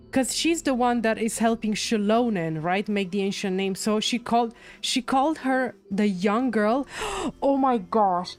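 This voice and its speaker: A woman's voice: on the high side, darkish tone. A woman livestreamer giving casual commentary on a game's story, thinking aloud as she reacts. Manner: thinks aloud, repeating and musing. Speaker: game livestreamer. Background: game music.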